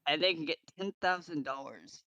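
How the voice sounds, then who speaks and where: Bright, higher-voiced man explaining. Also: normal speed, lo-fi sound. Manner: explaining, matter-of-fact. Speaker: multiplayer pilot guest. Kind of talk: casual chat over voice call.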